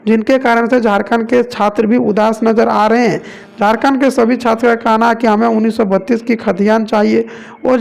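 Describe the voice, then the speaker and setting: A man's voice: very high voice, vocal fry, darkish tone. A man from India narrating news reports as a presenter, leaning on repeated stock phrases.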